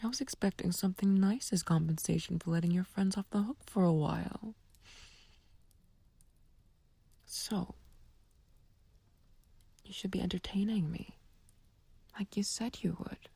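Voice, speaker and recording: A woman playing a flirtatious, taunting villain in an ASMR roleplay, speaking softly and breathily in a teasing, coaxing, languid way. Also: mid-range voice, normal speed, long pauses, no room sound. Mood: flirty, coaxing. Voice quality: breathy, whispery, soft. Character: flirtatious, taunting female villain sweet on a captive friend. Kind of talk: ASMR roleplay, intimate scripted acting.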